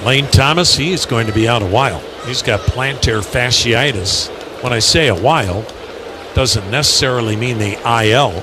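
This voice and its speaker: A man calling live baseball play-by-play on the radio in measured, clipped phrases. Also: mid-range voice. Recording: loud ballpark noise behind.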